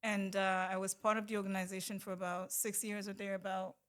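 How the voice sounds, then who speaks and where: A woman in her thirties talks as a guest in a measured way, with ums along the way. Her voice sits at a middling pitch. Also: flat delivery. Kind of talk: casual chat.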